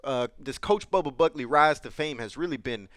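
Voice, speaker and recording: A man's voice: medium pitch, moderate pace, a bit bright, no echo, clean studio sound, lightly acted. A man playing a brash, profane male college football coach who brags and swears while talking to an interviewer. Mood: boastful. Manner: boastful, crude, keeps addressing the host by name. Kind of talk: improvised comedy interview sketch.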